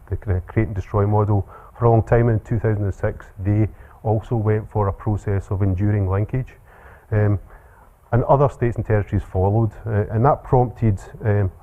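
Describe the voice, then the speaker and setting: Low-voiced Scottish man giving a seminar talk. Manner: relaxed, with frequent ums and uhs. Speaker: lecturer. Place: seminar room. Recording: tinny phone-like sound.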